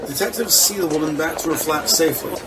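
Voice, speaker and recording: A man narrating a scripted crime audio drama in the present tense with deliberate, descriptive delivery. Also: on the high side, over a noisy crowd. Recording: distant voice over loud background noise.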